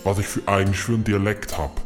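Casting: male actor as ageing macho tabloid hack, crude and self-satisfied, lowish voice, comedy audio drama with music underneath. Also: flat delivery, fast, natural voice. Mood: smug, boastful. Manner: rambling, boastful, self-satisfied.

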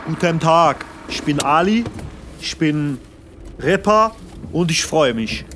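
A man voicing a naive, simple small-town rapper in a comedy sketch, speaking haltingly. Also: a little high, expressive, noisy background. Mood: naive, puzzled.

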